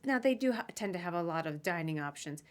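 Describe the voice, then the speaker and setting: A woman's voice: lowish voice, animated, quick pace. A woman hosting a casual conversational podcast interview, chatty and informal, often slipping in asides. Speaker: podcast host interviewing a guest.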